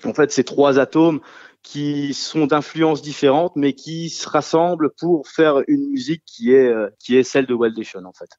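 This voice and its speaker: A man's voice: mid-range voice, normal speed, darkish tone, dry recording. Hesitant man musician in a dull dry studio. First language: French. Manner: hesitant, many fillers and restarts. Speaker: singer-guitarist of a rock trio. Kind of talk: casual radio interview answers.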